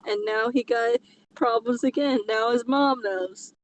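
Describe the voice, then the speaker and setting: A woman's voice: a little high, unhurried, a crowd in the background, low-quality recording. A woman chatting casually and reading a story aloud for comedy, with a teasing, giggly manner. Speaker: podcast co-host reading a fan fiction aloud. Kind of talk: casual chat and comic read-aloud.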